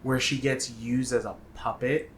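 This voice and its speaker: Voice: medium pitch, flat delivery, measured pace, a bit bright, slight background noise. A co-host chatting casually about films in a laid-back, wry way. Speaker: co-host of a video game film review show. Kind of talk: casual chat.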